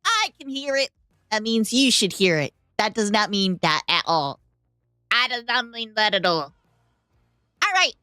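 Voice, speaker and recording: Brief: hoarse, bubbly woman streamer, high, lively voice, dry room. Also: a little slow, long pauses, no room sound, faint music behind. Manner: bubbly, talks to chat, hums tunes. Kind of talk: casual livestream reaction chat.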